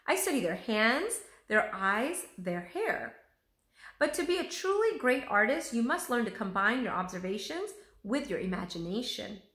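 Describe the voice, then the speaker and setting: A woman's voice: a little high, very animated, normal speed, fairly bright tone. A woman reading a children's storybook aloud, voicing an eager grown male artist who lectures with exclamatory enthusiasm. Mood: eager lecturing. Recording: roomy, echoing room sound.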